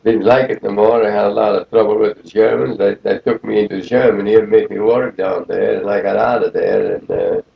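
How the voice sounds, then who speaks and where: A man, recalling the past in a rambling, halting way full of fillers in an oral history interview. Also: on the low side, expressive, creaky. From Netherlands. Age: 80s. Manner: rambling, halting, many fillers like "you see". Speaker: interviewee, war veteran. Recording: roomy lo-fi 1980 tape.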